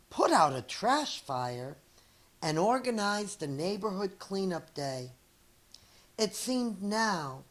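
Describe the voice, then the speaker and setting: A middle-aged woman reads a children's story aloud like a schoolteacher. Her voice sits a little low, and she takes her time with deliberate, expressive phrasing. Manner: slow, deliberate, acts out dialogue.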